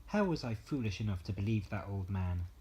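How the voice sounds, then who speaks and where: A man's voice: on the low side, animated, brisk, a little mellow, slight background noise, natural voice. Guy doing a little fairytale boy, eager n naive, voice goes all over. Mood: regretful. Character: naive, eager, pleading young boy adventurer.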